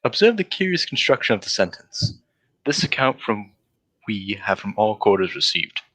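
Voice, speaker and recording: A man playing a brisk, self-assured gentleman detective in a 1940s-style radio drama, speaking precisely with a lightly condescending, lecturing air. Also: fairly low pitch, sing-song, poor audio.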